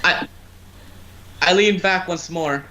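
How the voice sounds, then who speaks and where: A man's voice: sits high, slow pace, bright, forceful tone. A man reading a story aloud as an amateur narrator, stumbling over words and restarting phrases.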